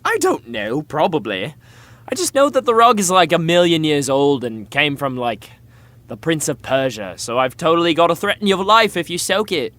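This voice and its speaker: An actor playing a flippant, laid-back young modern prince in an audio drama, casual and slangy in an offhand way. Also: male voice, on the high side, very animated, normal speed, a bit bright, dry recording. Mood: mock threatening. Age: young adult.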